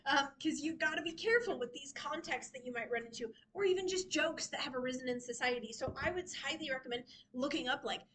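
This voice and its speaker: A young woman giving an informal talk to a small group in a meeting room, animated and prone to giggle. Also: high voice, quick pace, few pauses. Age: young adult. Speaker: workshop presenter. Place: library meeting room with windows.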